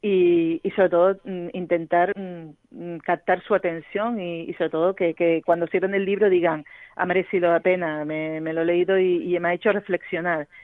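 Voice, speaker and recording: A woman's voice: fairly low pitch, flat delivery, moderate pace, no room sound. Woman on a tinny phone line. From Gran Canaria, Spain. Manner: thoughtful, hesitant with many fillers and restarts. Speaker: novelist, interview guest. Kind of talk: radio interview.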